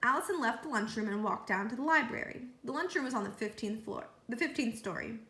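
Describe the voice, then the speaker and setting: A woman reading a children's book aloud as a storyteller, with animated delivery. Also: on the high side, a little fast. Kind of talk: read-aloud storytelling narration. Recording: roomy echo.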